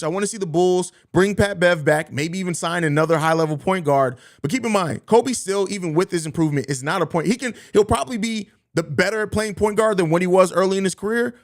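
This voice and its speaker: A man hosts a live sports reaction stream, reading viewer comments one after another and answering each in an easygoing, talkative way. His voice sits a little high for a man. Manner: conversational, reads viewer comments and riffs on them. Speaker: sports podcast host.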